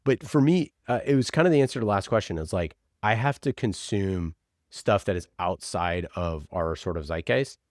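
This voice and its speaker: A man with a low, deep voice talks as a guest in a casual interview. He is emphatic and informal, often slipping into little quoted asides. The sound is close and dry. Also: animated, moderate pace, darkish tone, no echo. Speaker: podcast producer, interview guest. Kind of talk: casual conversational interview answers.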